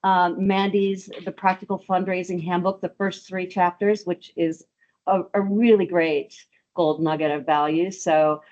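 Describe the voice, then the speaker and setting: A woman with a low voice hosts a webinar over a video call. She stops and restarts often, with plenty of ums. The call audio sounds dull and lo-fi. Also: flat delivery, unhurried, a little mellow. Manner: hesitant, many ums and restarts. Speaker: webinar host. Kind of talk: webinar presentation.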